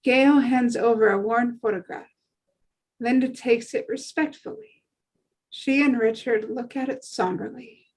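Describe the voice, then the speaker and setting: A woman narrates stage directions for a script read-through. Her voice is on the high side, and she delivers short descriptive sentences as scripted narration. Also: a little slow, darkish tone, husky, poor audio.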